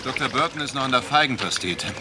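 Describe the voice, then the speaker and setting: A man's voice: medium pitch, expressive, fast, lightly acted. A man playing a courteous, dryly skeptical amateur investigator in a radio crime drama, questioning politely. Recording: clear background noise.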